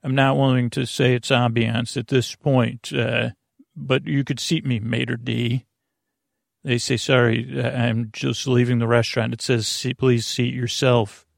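A man hosting a sleep podcast in a meandering, halting bedtime monologue with self-deprecating asides. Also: mid-range voice, little pitch movement, creaky, no room sound, clean studio sound.

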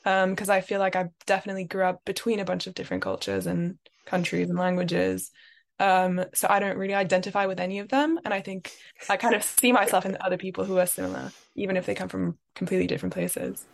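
A woman's voice: medium pitch, fast, vocal fry. Thoughtful young woman. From France, raised in London. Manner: thoughtful, many "like" and "um" fillers. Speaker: guest. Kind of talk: reflective interview talk.

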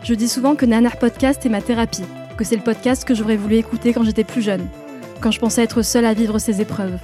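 Mellow, higher-pitched woman podcast host. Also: steady tone, fast talker. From France. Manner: personal, addresses listeners directly. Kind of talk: podcast introduction and interviewing. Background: music.

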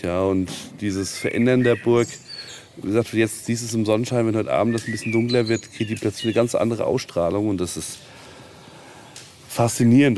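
A man's voice: on the low side, a little mellow. A man talking at length in an informal on-site interview, enthusiastic and rambling as he explains. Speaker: castle tenant and event organizer, interviewee. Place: outdoors at a castle ruin. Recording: outdoor field recording with faint background noise.

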